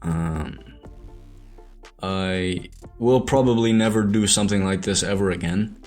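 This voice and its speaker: Hesitant young Irish-born man, lowish voice. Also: unhurried, darkish tone, soft background music. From Ireland. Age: young adult. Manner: hesitant, rambling, many restarts and self-corrections. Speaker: animator and show creator. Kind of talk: reflective monologue to camera.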